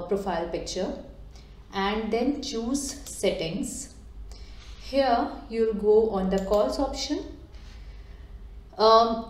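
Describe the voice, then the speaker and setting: A woman guides a software tutorial in a calm, step-by-step way. Her voice is mellow and soft-edged, and she pauses on frequent ums and uhs. The recording carries a noticeable room echo. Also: medium pitch. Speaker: digital transformation coach. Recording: echoey room.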